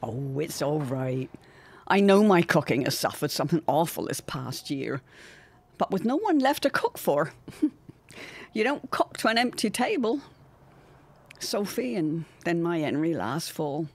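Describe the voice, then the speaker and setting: A woman's voice: low-pitched, big pitch swings, a little slow, breathy edge, exaggerated voice. A woman playing a grieving, plain-spoken widowed mother with a folksy, effusive manner in an audio drama. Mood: sorrowful.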